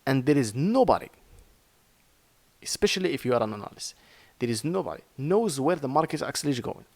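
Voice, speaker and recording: Moroccan guy explaining trading, mid pitch, halting, lots of uhs, dry close mic. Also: lively intonation, a little mellow, dry recording. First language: Arabic. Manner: earnest, halting, with many fillers and restarts. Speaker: crypto trading teacher. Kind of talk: informal screen-share lesson.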